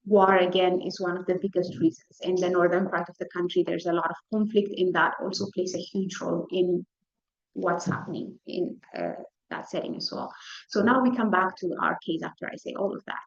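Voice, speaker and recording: Low-voiced woman lecturing. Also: steady tone, brisk, vocal fry. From Ethiopia. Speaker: physician presenting a case lecture. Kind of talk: slide presentation, medical lecture. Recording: dull, lo-fi lecture audio.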